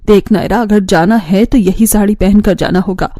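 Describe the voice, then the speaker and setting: A woman's voice: medium pitch, fairly even intonation, moderate pace, warm tone, dry recording, studio quality, natural voice. A woman voicing a stern, weary mother who scolds her daughter reproachfully in a family cartoon. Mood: exasperated, stern. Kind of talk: Hindi family cartoon voice acting.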